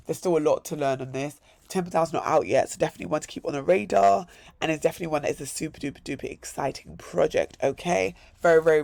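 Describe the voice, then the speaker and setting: Crypto vlogger, male, hoarse and gravelly, upbeat, repeats himself in threes. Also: on the high side, darkish tone, dry recording. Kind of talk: casual screen-share walkthrough.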